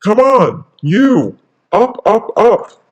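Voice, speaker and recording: A woman's voice: mid-range voice, sing-song, very slow, a little mellow, pitched above the performer's own voice. A woman voicing a big, mean, bossy female capybara who talks in a blunt, boastful way in a children's story. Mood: bossy, yelling. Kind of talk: children's story read aloud.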